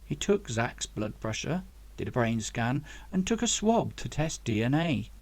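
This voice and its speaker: A man's voice: medium pitch, sing-song, unhurried. A man reading a story aloud as scripted audiobook narration, as the author of the book.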